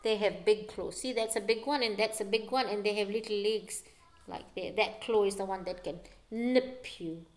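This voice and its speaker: A woman's voice: medium pitch, normal speed. A middle-aged woman reading a picture book aloud to young children at home, with dramatic delivery and explanatory asides. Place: living room at home.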